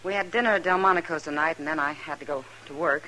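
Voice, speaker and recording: A woman's voice: low voice, fast talker, sharp tone. An actress playing a worldly, loyal American frontier saloon woman in an old radio western, frank and familiar. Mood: worried. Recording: noisy old-time radio recording.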